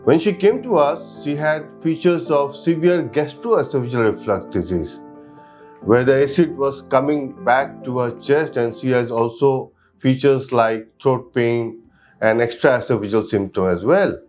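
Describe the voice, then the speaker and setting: A man's voice: medium pitch, measured pace. A man with an Indian accent explaining a patient case as a surgeon, in a formal, clinical manner. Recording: dull audio under background music.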